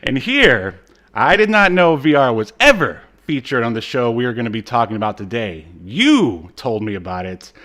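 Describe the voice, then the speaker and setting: A man's voice: medium pitch, big pitch swings, moderate pace, sharp tone. A man co-hosting a podcast in casual comedic banter, joking in a mock-serious way. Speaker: podcast co-host.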